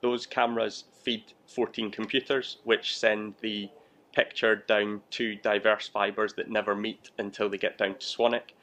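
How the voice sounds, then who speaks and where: A man's voice: lowish voice, little pitch movement, a little slow, fairly bright tone. A man in his thirties, an air traffic control manager, explaining his work in an interview.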